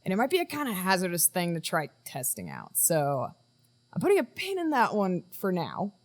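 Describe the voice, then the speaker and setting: A guarded woman team leader in an audio drama, played by an actress, speaking briskly and firmly. Her lowish voice swings expressively, with small hesitant asides between instructions. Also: huge pitch swings. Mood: brisk, dismissive. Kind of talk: naturalistic audio drama dialogue.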